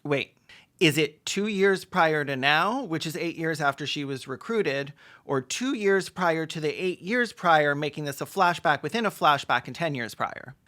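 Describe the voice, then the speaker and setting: A video commentator giving sarcastic, comedic film commentary with deadpan asides and mock-dramatic emphasis. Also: mid-range voice, normal speed, soft background music.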